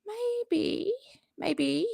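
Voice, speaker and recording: Fields: Sex: woman. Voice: gravelly and hoarse. Style: chatty live-stream host. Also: extremely high pitch, expressive, extremely slow, dark tone, no room sound, clean studio sound. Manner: chatty, jokey, talks to her viewers. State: hoarse, often losing her voice. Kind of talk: casual live-stream reaction chat.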